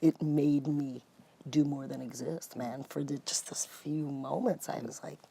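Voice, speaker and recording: Woman, low voice, gravelly, husky, chatty storyteller. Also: unhurried. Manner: storytelling, animated, says "man" a lot. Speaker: guest musician and vocalist. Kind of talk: casual interview chat.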